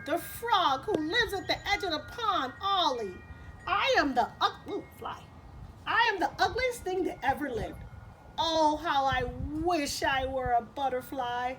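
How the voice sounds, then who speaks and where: A small, sorrowful cricket lad pipes up in a high, lively voice put on by a woman reading a storybook. He sounds plaintive and self-doubting, with music playing along. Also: a little slow, poor audio. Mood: dejected, wistful. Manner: plaintive, exclaiming. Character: sad, self-doubting littlest male cricket, wistful and childlike.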